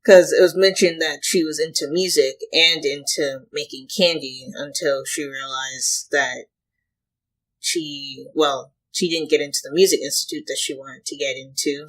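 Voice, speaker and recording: A reaction host with a husky voice, commenting casually and thinking aloud in short, halting pieces. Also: medium pitch, fairly even intonation, fairly bright tone, low-quality recording.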